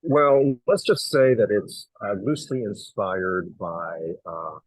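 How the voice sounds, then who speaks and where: A man's voice: fairly low pitch, slow, no room sound, rough recording. A middle-aged man from Hong Kong, an actor and writer, telling stories in a casual interview with lots of fillers and restarts. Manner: animated storyteller, many fillers and restarts.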